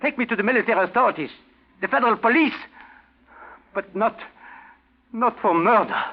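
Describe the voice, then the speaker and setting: An actor playing a desperate escaped German prisoner of war, pleading insistently in 1940s radio drama style. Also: male voice, sits high, lively intonation, brisk. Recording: tinny 1940s radio broadcast.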